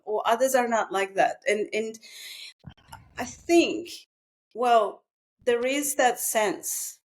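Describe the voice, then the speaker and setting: A woman speaks as a therapist guest in an unscripted, reflective conversation, haltingly thinking aloud. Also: a little high. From Russia. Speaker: psychotherapist guest.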